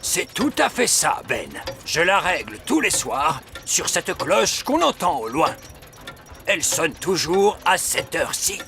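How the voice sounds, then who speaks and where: Cartoon old elf: creaky, slow, proud, excitable. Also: male voice, on the high side, music in the background, heavily acted. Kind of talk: French-dubbed children's cartoon acting.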